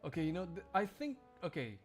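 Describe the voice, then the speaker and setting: A man's voice: a little high, big pitch swings, a little fast, faint music behind. A man livestreaming, chatting casually to his viewers in a jokey, rambling, exclamatory way. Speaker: live streamer.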